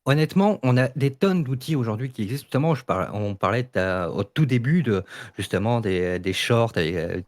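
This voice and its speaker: A man in a casual panel debate, explaining his points insistently and repeating them. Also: mid-range voice. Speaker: debate participant. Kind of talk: casual panel debate about audio fiction.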